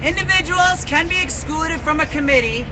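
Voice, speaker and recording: Loud high-voiced man reading proposals. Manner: loud, deliberate, reads each point then its reason. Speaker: proposal presenter at a general assembly. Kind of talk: reading prepared points aloud at an outdoor meeting. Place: outdoor public assembly with crowd. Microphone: phone livestream. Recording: crowd and street noise on a phone stream.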